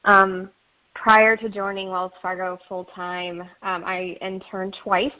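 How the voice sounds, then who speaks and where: Young woman from st louis on a tinny call, pretty flat voice. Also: medium pitch, takes it slowly. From St. Louis, Missouri. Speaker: webinar panelist. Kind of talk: casual panel introduction. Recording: tinny phone-like line.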